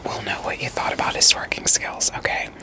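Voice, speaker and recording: A woman whispering an ASMR roleplay as a therapist, in a soft, deadpan, gently questioning manner. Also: a little fast.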